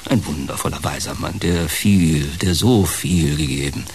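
A deep, gravelly, wounded fallen entertainer. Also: male voice, a little mellow, exaggerated voice. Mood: bitter. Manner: halting, dramatic, emotionally laden delivery. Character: bitter, heartbroken fallen entertainer, male, wounded and brooding. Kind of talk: German radio play dialogue. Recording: clear background hiss.

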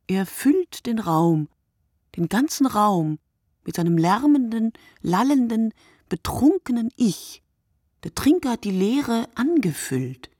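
A woman playing a reflective narrator in a literary radio play, with a husky voice and deliberate, fragmented, poetic phrasing that dwells on single words. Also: medium pitch, darkish tone, no echo, clean studio sound. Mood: emphatic, sardonic. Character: reflective woman narrator musing poetically on night-time drinkers.

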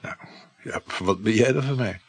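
An actor playing a reserved, evasive man humouring his lover in a naturalistic radio drama, with a husky voice and dry, hesitant delivery. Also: lowish voice, normal speed, dry recording. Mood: curious.

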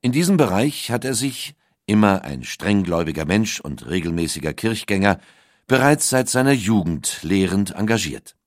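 A man's voice: lowish voice, sing-song, moderate pace, no echo, clean studio sound. A man reading a scripted documentary audiobook as its narrator. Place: dry recording studio.

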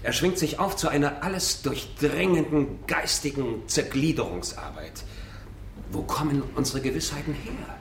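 An actor playing a lofty, self-assured philosophy professor lecturing rhetorically in a radio drama. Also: male voice, a little high, expressive, moderate pace, vocal fry. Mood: rhetorical, emphatic. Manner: declamatory, rhetorical. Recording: echoey lecture hall, distant, faint noise.

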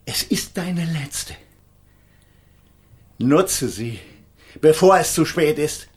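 Radio thriller: grave, embittered lawyer, older, higher-set voice, moralizing, deliberate delivery. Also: male voice, expressive. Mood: solemn, admonishing. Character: stern, bitter idealist lawyer, driven to vengeance. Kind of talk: German radio crime drama acting.

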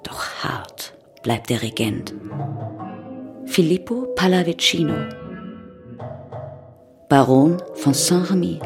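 A woman performing as a grave narrator in a radio play, with measured poetic phrasing and a breathy, half-whispered delivery. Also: deep voice, heavily acted. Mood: grave, intense. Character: female narrator chanting a grave tale of a plague ship. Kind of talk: literary radio drama narration, incantatory refrains.

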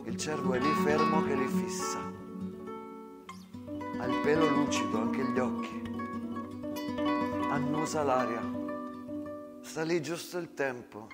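A man narrating a dramatic war story as a stage storyteller, measured, in short phrases parted by long pauses. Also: mid-range voice. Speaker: actor and storyteller. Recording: long hall reverb with guitar music. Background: guitar music.

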